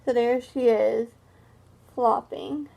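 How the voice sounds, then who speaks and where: Lady teacher doing a read-aloud, high voice, slow pace, stops to explain stuff kinda haltingly. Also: fairly even intonation, gravelly, dark tone. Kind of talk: picture book read-aloud with simple explanations.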